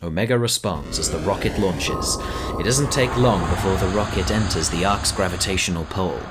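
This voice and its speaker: A man's voice: low-pitched, normal speed, very noisy. A man reading scripted narration for a fan audio drama.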